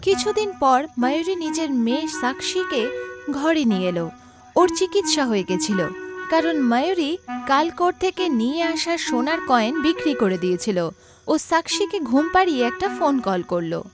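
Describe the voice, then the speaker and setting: A woman narrates a horror story in a high voice with very lively intonation. Loud music plays behind her throughout. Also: normal speed. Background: loud background music.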